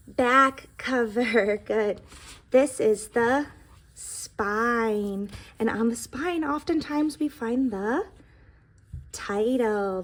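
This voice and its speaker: Female teacher for children's read-aloud: fairly high voice, husky edge, expressive, instructive delivery. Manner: expressive, instructive, addresses young listeners directly. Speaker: teacher reading aloud to students. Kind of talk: picture book read-aloud for young children with explanatory asides.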